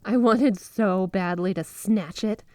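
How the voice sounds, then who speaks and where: A woman's voice: medium pitch, darkish tone, no echo, lightly acted. Woman acting a gushing, obsessively devoted yandere wife, close-miked. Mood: flustered, excited. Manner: gushing, breathy giggles, drawn-out emphasis on words. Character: flirty, obsessively devoted yandere wife with magic snow powers. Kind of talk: intimate ASMR roleplay monologue to the listener.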